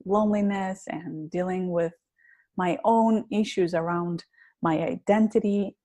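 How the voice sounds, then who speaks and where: A woman's voice: on the low side, steady tone, measured pace, dark tone. A woman with a heavy Greek accent, a public speaking coach being interviewed on a podcast, talking in an earnest, reflective way with some hesitations. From Greece.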